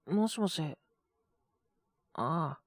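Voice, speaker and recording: Casting note: woman playing a soft-spoken young male teacher, feverish and coughing, slow drowsy mumble, swoopy pitch, bone-dry close sound. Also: expressive, no echo, heavily acted. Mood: flustered, chatting. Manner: soft, tired, mumbling, often whispered. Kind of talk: audio drama for women listeners.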